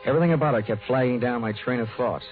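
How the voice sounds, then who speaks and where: Man playing a hardboiled investigator, wisecracking tough guy, mid-pitched, 1940s radio drama, muffled, music behind. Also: racing, close to an everyday voice. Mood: wry narration. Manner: wry, wisecracking. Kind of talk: 1940s radio acting, first-person noir narration.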